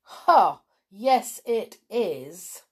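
A woman voicing a rough male circus man who is polite only with great effort. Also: mid-range voice, animated, normal speed, voice pushed up, lightly acted. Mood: gruff.

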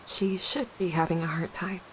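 Actress as young widowed mom wrecked with guilt, halting n raw, audio drama, hiss behind her. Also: fairly low pitch, lightly acted, rough recording. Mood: bitter, angry. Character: grieving, guilt-ridden young widowed mother, bitter and wounded. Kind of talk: naturalistic audio drama acting. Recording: clear background hiss.

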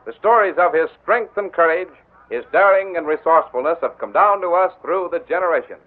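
A man narrating an old western radio show with a high-pitched, booming, declamatory delivery. It comes through a thin, tinny broadcast sound from decades ago. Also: a little fast. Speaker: announcer and narrator. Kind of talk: dramatic radio announcer narration.

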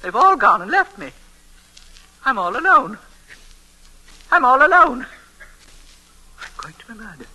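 A woman's voice: high voice, big pitch swings, measured pace, long pauses, bright, forceful tone, exaggerated voice. An actress playing a fretful, needy older woman in old-time radio melodrama, overwrought, quavering and repeating herself. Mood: lonely dread. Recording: noisy vintage radio recording.

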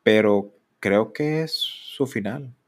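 A man in his thirties with a Mexican accent, hosting a solo podcast and speaking candidly in a rambling way. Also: slow. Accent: Mexican Spanish. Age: 30s. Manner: candid, rambling, mocks others in exaggerated high voices. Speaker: podcast host.

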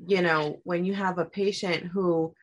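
A woman's voice: fairly low pitch, fairly even intonation, a little slow. Enthusiastic slangy woman host. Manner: enthusiastic, slangy praise with many fillers. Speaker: podcast host. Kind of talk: casual interview chat.

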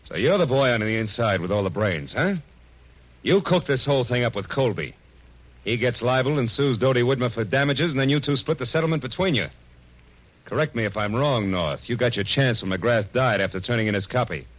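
An actor playing a tough, wisecracking private detective, clipped and sardonic, in 1940s radio drama. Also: male voice, medium pitch, lively intonation, brisk, fairly bright tone, rough recording. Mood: weary, wry. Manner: clipped, sardonic, hard-boiled. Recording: old radio broadcast recording with music.

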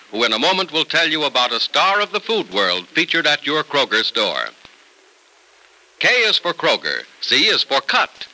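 A man's voice: fairly high pitch, pushed and bright, rough recording. A man announcing and narrating an old-time radio program in a polished, declamatory 1940s style.

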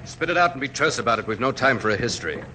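An actor playing a brusque, impatient colonel and aide, clipped and official, in a 1940s American radio drama. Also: male voice, medium pitch, animated, racing, lightly acted. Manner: clipped, gruffly dismissive, official. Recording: noisy vintage 1940s radio recording.

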